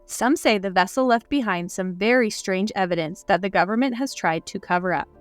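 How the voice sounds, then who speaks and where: Chatty woman co-host, mid-pitched, upbeat, faint music underneath. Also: fairly quick, no room sound. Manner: upbeat, chatty, laughs easily. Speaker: podcast co-host. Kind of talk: casual chat.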